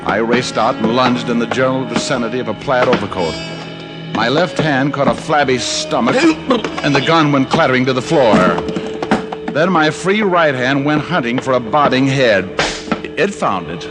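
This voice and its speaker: A man's voice: medium pitch, moderate pace, natural voice. An actor playing a sardonic, unflappable male private detective who also narrates, in 1940s radio drama style. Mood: tense narrating. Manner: sardonic, dry wit. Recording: old radio recording with loud music.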